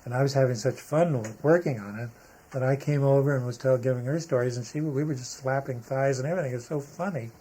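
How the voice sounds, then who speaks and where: An older American man telling anecdotes casually as an interviewee, haltingly searching for words. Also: medium pitch, mellow. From United States (Arizona). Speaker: interviewee, retired-era Hollywood photographer. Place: photographer's studio, indoors. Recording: noisy room ambience.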